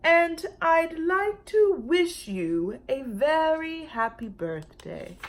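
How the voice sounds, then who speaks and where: A woman voicing a gigantic, polite male brontosaurus in a storybook, speaking in a drawn-out, deliberate, stately way. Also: sits high, big pitch swings, voice pushed up, heavily acted.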